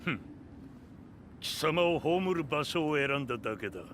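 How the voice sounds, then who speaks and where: A man's voice: on the high side, lively intonation, fast talker, fairly bright tone, a little hiss or hum. An actor voicing a ruthless male fighter in a game cutscene, terse and scornful. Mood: cold. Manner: clipped, contemptuous.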